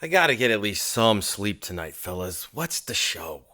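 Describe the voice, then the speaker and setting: A man's voice: lowish voice, big pitch swings, moderate pace, sharp tone. A tired, sardonic male writer in an audio drama. He grumbles with dry skepticism, his voice rising and falling widely. A faint hiss sits behind him. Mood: weary. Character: weary, sardonic male scriptwriter, married with kids.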